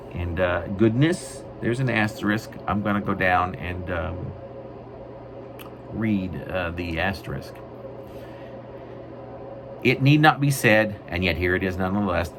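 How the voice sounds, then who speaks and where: A man reading his own poetry in a wry, mock-grandiose way, with deliberate, literary phrasing. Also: lowish voice. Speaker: poet reading own work. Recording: noisy amateur recording.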